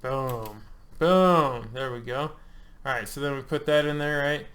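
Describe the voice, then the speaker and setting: A man's voice: mid-range voice, unhurried, fairly bright tone. A man in his thirties, the host of a hobby channel, walking viewers through an online form in a loose, chatty way, with frequent ums and uhs and the odd joke. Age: 30s. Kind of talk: casual screen-share walkthrough.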